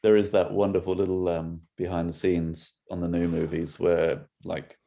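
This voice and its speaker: Deep, mellow-voiced man. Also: flat delivery, moderate pace, creaky. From England. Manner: chatty, rambling, laughs easily. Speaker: podcast host. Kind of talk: casual video-call interview.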